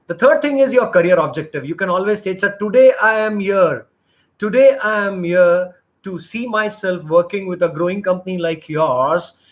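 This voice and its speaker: A middle-aged man coaches job applicants in a live session. His voice sits high, and he talks in an earnest, rambling way, often restarting his sentences. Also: a bit bright. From India. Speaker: interview trainer.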